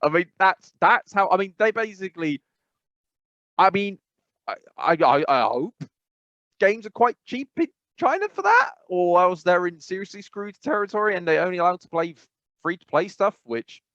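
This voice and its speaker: A man's voice: sits high, sing-song, moderate pace, a bit bright, dry recording. A man co-hosting a casual gaming podcast, rambling and opinionated, with lots of restarts. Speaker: podcast co-host. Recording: dull, dry sound.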